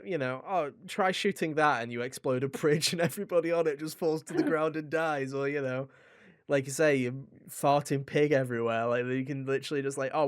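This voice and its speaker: A man's voice: medium pitch, no echo. Casual male podcaster, very dry close sound. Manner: rambling, informal, jokey. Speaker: podcast co-host reviewing video games. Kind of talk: casual chat.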